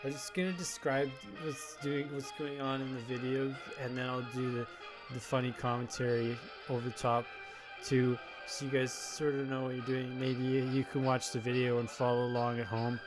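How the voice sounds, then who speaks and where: A man's voice: medium pitch, flat delivery, music in the background, rough recording. A man giving a rambling, hesitant video introduction, full of fillers and restarts. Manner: halting, hesitant, many fillers. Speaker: video commentator. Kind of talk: rambling monologue.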